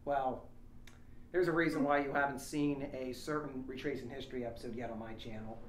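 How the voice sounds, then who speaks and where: A man's voice: mid-range voice, fairly even intonation, fairly quick. Man hosting a read-along in an echoey room. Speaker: host of a read-along show. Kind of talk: casual chat and reading aloud from a story. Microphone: small lapel microphone shared by the group. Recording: roomy echo, faint noise, small lapel mic.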